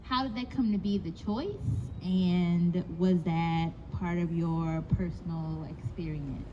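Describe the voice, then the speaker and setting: A woman's voice: on the low side, steady tone, takes it slowly, mellow. An American woman moderating a live Q&A in a casual, conversational way. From Southern United States church tradition. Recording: dull, faintly noisy live recording.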